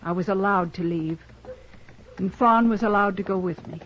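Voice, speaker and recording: A woman playing a weary, dignified former captive in a 1950s radio western, speaking in an earnest, halting, formal way. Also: mid-range voice, a little hiss or hum, close to an everyday voice. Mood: solemn, confiding. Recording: muffled 1950s radio recording.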